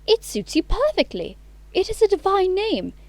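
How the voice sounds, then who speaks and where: A woman's voice: very high voice, wildly swooping pitch, normal speed, no room sound, exaggerated voice. A woman acting an imperious, romantic young Victorian society lady in an audio play, with precise, affected, self-assured speech. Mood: haughty, romantic.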